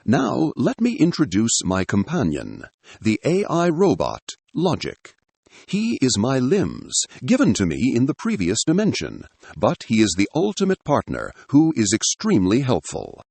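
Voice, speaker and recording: A calm, gentlemanly android condor from a science fiction audiobook, acted by a man, speaks with formal courtesy and a measured manner. The voice is gravelly and husky, with pitch that moves widely. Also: no echo, heavily acted. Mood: courteous, explanatory.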